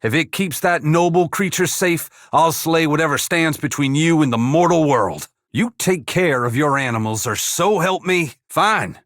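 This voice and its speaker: An actor playing a humble, weary dead farmer-saint in a fantasy video game, plain-spoken yet solemn, with a gravelly, husky voice. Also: male voice, a little high, expressive, normal speed, hardly any pauses, fairly bright tone, no echo, clean studio sound. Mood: indignant, protective. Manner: plain-spoken rustic phrasing mixed with solemn archaic oratory. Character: humble dead farmer-saint, once god-touched, wry, earnest and weary. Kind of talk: dramatic fantasy game dialogue.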